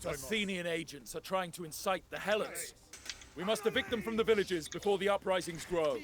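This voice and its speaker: High-pitched, commanding Spartan general played by a man. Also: fairly quick, close to an everyday voice. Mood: stern. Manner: declamatory, formal, commanding. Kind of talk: theatrical historical video game acting.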